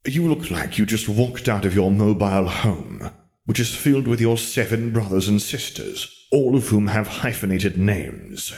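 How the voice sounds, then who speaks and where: A man's voice: lowish voice, very animated, a little slow, darkish tone. A man voicing a stern, disdainful wizard mentor, lecturing in a dry, precise way for a story reading. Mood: dry disgust.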